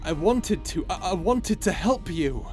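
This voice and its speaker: A man's voice: high-pitched, expressive, a little slow, a little mellow, faint music behind. An actor playing an earnest, protective young space pirate captain in an audio roleplay, flustered and stammering as he speaks emphatically. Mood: pleading, flustered.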